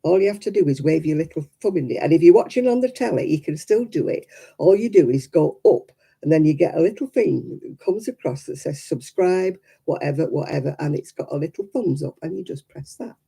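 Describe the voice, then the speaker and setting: A British woman speaks in a very dark, muffled-warm tone with a husky, croaky edge, hoarse from being unwell. She chats informally as a livestreamer would, with dry, teasing humour aimed at her viewers. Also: low voice, lively intonation, a little fast. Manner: wry, teasing. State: hoarse after illness. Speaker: livestreaming crafts vlogger. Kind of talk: casual chat to live viewers.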